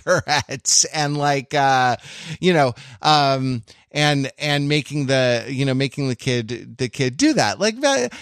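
A man chatting casually and analytically as a podcast panelist, in a halting, discursive way that circles back over phrases. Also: on the high side, a bit bright, dry recording, clean studio sound.

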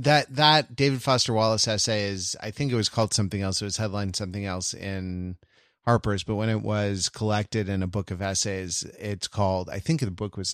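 Man, lowish pitch, dry studio-close sound, mock-pedantic, casual conversational host. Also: vocal fry, a bit bright, no room sound. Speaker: podcast host. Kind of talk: casual podcast banter.

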